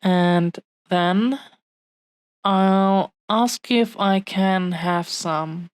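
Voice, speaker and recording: A woman playing an affectionate, possessive dragon-blooded fiancée in an intimate roleplay audio drama, speaking earnestly and haltingly with long pauses to the listener. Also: medium pitch, clean tone, dry recording. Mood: tender, earnest.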